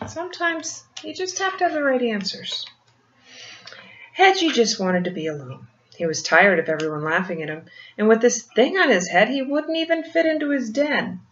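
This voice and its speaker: An older woman reads a picture book aloud like a grandmother, pausing for gentle explanations and questions to the child. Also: a little high. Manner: gentle teacherly asides, asking the child questions. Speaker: grandmother storyteller reading to children. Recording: muffled, roomy home recording with faint noise.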